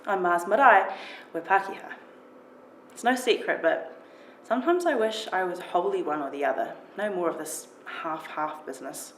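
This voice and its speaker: A woman with a slightly low voice reads a first-person story expressively. The recording is roomy and echoing, with clear noise behind her. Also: animated, moderate pace, a bit bright. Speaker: writer reading own short story.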